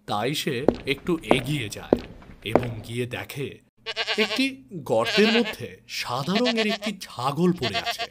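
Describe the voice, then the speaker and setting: A man's voice: medium pitch, heavy background noise. A man narrating a scripted moral tale for children in a dramatic storytelling style.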